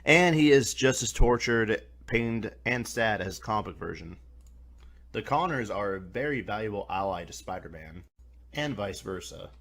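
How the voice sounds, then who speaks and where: A man's voice: lowish voice, expressive, moderate pace, a bit bright. A man hosting a scripted video review, talking in a colloquial, mildly profane way. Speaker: reviewer, host.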